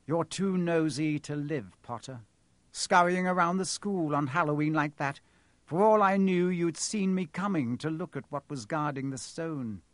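A man's voice: fairly high pitch, no room sound, voice pushed up, close to an everyday voice. Man playing a cold, smug villain professor. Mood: coolly menacing. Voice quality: cold, sharp. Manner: smug, mocking, unhurried. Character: cold, gloating male villain professor, coolly menacing.

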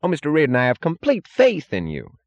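A man's voice: a little high, wildly swooping pitch, fairly quick, no echo, dull audio, voice pushed up. A man voicing a timid, appeasing official with a pleading manner. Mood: incredulous, appeasing.

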